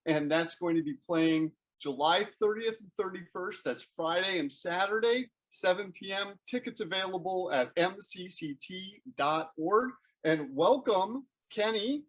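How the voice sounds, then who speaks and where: A man hosting a conversational interview, halting and repeating words as he forms his questions. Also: high voice. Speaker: interview host.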